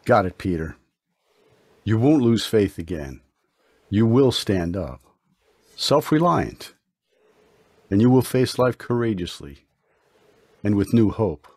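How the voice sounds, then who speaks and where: A man's voice: on the low side, big pitch swings, slow, long pauses, a little mellow, no echo, exaggerated voice. Man playing a kindly, earnest doctor, dry sound. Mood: encouraging. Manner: earnest, uplifting, formal speech. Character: kindly, serene, mysterious male hospital doctor. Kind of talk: old-time radio drama acting.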